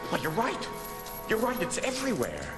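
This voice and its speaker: An actor playing a shrewd, greedy gentleman adventurer in a radio drama, wry and formally polite. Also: high voice, huge pitch swings, moderate pace, music in the background. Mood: amazed.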